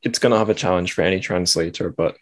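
A man hosting a casual podcast interview in a playful, halting way that often doubles back on words. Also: low-pitched, no echo. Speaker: podcast host.

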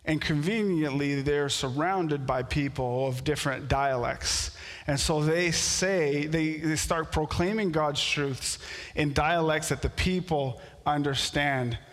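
A pastor whose first language is French, preaching a sermon in a storytelling way that builds to animated outbursts. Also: male voice, on the high side, slow, in a large hall. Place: church sanctuary.

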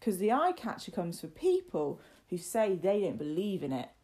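Storybook working lad, played by a woman: sly, mock-respectful, ominous teaser with very animated intonation. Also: lowish voice, moderate pace. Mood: feigned seriousness. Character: sly, teasing working boy spinning scary tales. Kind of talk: storybook character voice.

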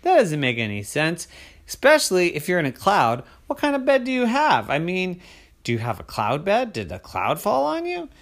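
A man's voice: high-pitched, wildly swooping pitch, fairly bright tone, pitched above the performer's own voice, heavily acted. A man voicing a bold, chatty young girl who squeals and questions everything in a bedtime story. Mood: incredulous. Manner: squealing, questioning, talks fast.

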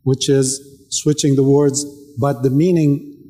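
Arabic-native guy pushing back in a debate, mellow voice, echoey hall. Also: mid-range voice, level tone, a little slow, a little hiss or hum. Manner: firm, rebutting, short pointed questions. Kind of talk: live debate. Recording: reverberant hall.

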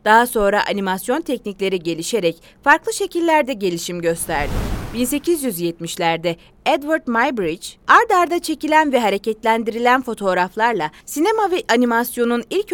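High-voiced, lively woman narrator. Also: dry recording. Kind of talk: scripted documentary-style explainer narration.

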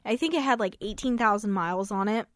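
Woman, scratchy voice, radio co-host, casual talk, average pitch, very little room sound. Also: fast talker, no echo, clean studio sound.

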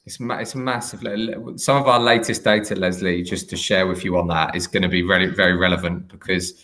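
Low-voiced man hosting a chat. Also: steady tone, a little fast, sharp tone. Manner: hesitant, many fillers and restarts. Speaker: podcast host. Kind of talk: casual interview chat.

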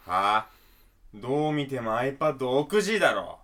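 A man's voice: medium pitch, big pitch swings, measured pace, fairly bright tone. A man playing a flashy, irritable slacker with a curt, slangy way of talking in a radio drama. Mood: annoyed. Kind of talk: Japanese radio drama acting.

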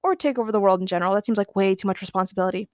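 A woman's voice: mid-range voice, very fast, no room sound, studio quality, close to an everyday voice. Woman as a blunt, casual young bachelorette. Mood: disgusted.

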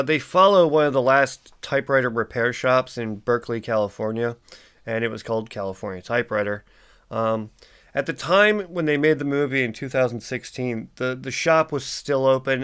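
A man's voice: medium pitch, a bit bright, clear, resonant tone. A man in his forties hosting a solo podcast, sounding congested and stuffy, rambling casually with lots of ums and uhs. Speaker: podcast host.

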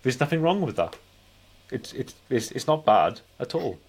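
A man's voice: mid-range voice, big pitch swings, moderate pace, a little hiss or hum. A man in his forties chatting casually as a film podcast co-host, rambling and often repeating himself. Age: 40s. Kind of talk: casual film review chat.

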